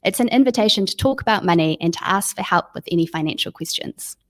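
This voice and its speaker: A woman's voice: mid-range voice, lively intonation, quick pace. A woman hosting a webinar, introducing and moderating in a prepared, presentational style. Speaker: webinar host.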